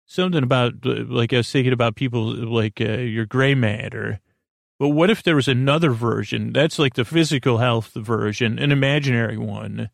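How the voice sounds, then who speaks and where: A man hosting a bedtime podcast in a meandering, halting monologue, often drifting off his train of thought. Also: medium pitch, creaky, no room sound, clean studio sound. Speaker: podcast host.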